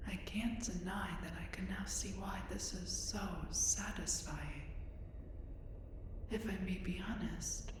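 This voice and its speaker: Audio drama casting: woman as reserved alien scientist, female, curious, formal and measured; very echoey, far from the mic. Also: mid-range voice, lightly acted. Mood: intimate, curious. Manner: formal, measured, precise wording. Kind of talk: scripted roleplay audio drama. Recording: roomy echo, distant, clear background noise.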